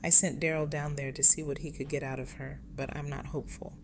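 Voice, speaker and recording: A woman playing a brisk, authoritative leader in an audio drama. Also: deep voice, flat delivery. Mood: matter-of-fact. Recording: clear background noise.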